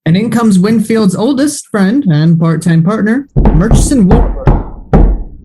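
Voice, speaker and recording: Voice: medium pitch, lively intonation, warm tone, slightly rough. A narrator introducing scenes and breaks in a radio play. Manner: measured, announcing.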